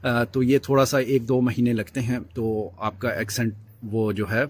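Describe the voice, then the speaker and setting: A man's voice: mid-range voice, flat delivery, measured pace. A man giving casual advice as a video host, with many fillers and restarts.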